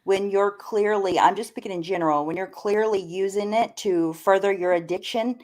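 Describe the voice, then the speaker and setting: A woman's voice: mid-range voice, normal speed, darkish tone. A woman chatting casually on a live stream as a panelist, blunt and opinionated, swearing freely. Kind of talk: casual gossip chat.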